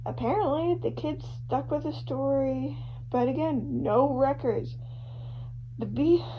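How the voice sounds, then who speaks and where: A woman's voice: high-pitched, slow pace. A woman voicing an eager male true-crime show host, telling the case in an emphatic, conversational way that builds suspense. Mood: amused, emphatic. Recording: muffled lo-fi home recording with hum.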